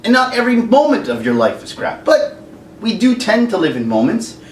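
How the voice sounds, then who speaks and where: A man hosting a casual rant to camera, jokey and animated, now and then slipping into silly singing. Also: sits high, a little fast. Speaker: YouTube host. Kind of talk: ranting commentary to camera. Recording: echoey room, mic at a distance, faint background noise.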